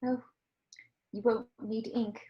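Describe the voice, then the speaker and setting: A woman's voice: fairly high pitch, little pitch movement, takes it slowly, dull audio. A woman playing a prim, mock-polite, sweetly menacing middle-aged teacher in an amateur script read. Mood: sinister sweetness.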